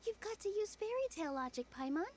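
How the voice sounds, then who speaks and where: A young fairy-tale heroine in a video game. Her voice is high and gentle, and she speaks with sweet, earnest care. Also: sing-song, fast. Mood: bright, playful. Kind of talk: video game character acting.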